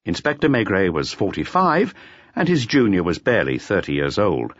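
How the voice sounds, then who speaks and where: A man's voice: sits low, big pitch swings, moderate pace, no room sound. A man narrating a scripted audiobook in a dramatic storytelling style. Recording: slightly dull, dry audiobook recording.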